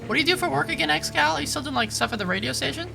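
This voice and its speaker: A man's voice: very high voice, lively intonation, racing, gravelly, music in the background. A man streaming a game, chatting casually with viewers in informal slang and commenting as he plays. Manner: informal, slangy, says dude and man often. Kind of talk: casual live-stream chat and gameplay commentary.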